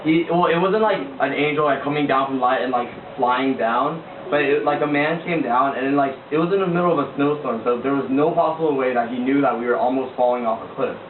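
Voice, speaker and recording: A teenage boy tells his story earnestly, repeating words and filling pauses as he goes. His mid-pitched voice sounds far from the mic on a tinny, echoey recording with a noisy background. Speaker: youth sharing testimony. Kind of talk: casual spoken testimony to a group.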